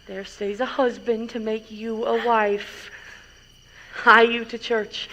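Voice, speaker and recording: A woman plays a weary old nursemaid from Shakespearean stage drama, full of grumbles and teasing. Her voice is fairly high, and she drags out each complaint very slowly. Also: pushed and bright, rough recording.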